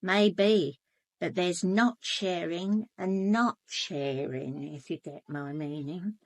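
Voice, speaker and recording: An actress playing a gossipy, blunt English housekeeper in a British radio drama, chatty, colloquial and confiding. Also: female voice, on the low side, takes it slowly, a little mellow, no room sound. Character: gossipy, blunt, sharp-eyed housekeeper, a working-class woman. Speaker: actor in a radio murder mystery.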